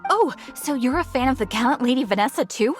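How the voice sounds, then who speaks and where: A woman's voice: high voice, expressive, quick pace, faint music behind. A woman voicing a chatty, eager shopkeeper in a video game, bubbly and salesy.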